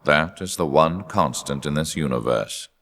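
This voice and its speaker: A man voicing a cold, arrogant, scheming superhuman video game villain in a measured, theatrical, menacing delivery with a gravelly voice. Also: extremely low pitch, a bit bright. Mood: cold, triumphant. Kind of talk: scripted video game villain narration and dialogue.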